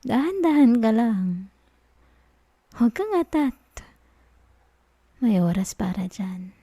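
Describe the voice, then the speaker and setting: Female performer as a teasing, dominant professor; soft ASMR delivery, warm low-key tone, dry close sound. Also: sing-song, slow pace, breathy edge, dry recording, full character voice. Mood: amused, coy. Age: adult. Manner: teasing, soft-spoken, chuckles often. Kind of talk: ASMR roleplay.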